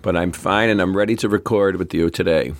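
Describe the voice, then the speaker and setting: A man's voice: low-pitched, steady tone, fairly quick. A man co-hosting a history podcast in casual chat, with a frog in his throat, answering with short, easygoing reactions. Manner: easygoing, brief reactions and chuckles. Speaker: podcast co-host.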